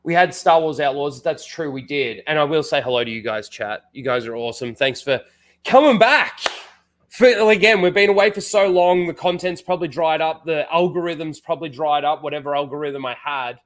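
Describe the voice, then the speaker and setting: A man's voice: fairly high pitch, brisk. Stuffy-nosed man rambling on a livestream. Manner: rambling, full of "like" and restarts. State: head cold, blocked nose. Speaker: livestream host. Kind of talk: casual livestream chat.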